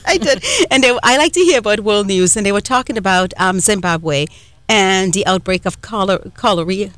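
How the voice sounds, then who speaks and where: A woman's voice: medium pitch, a little fast, dry recording. A middle-aged woman from Trinidad with a Trinidadian accent, co-hosting a radio talk show in a chatty, rambling way with lots of fillers. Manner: chatty, warm storytelling with many fillers and restarts. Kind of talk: casual chat.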